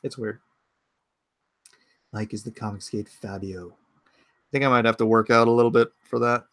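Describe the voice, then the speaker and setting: A man's voice: mid-range voice, steady tone, moderate pace, fairly bright tone, no room sound, clean studio sound. A man in his forties hosting a casual livestream from his home computer, rambling and thinking aloud as he answers chat comments. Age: 40s. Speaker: livestream host.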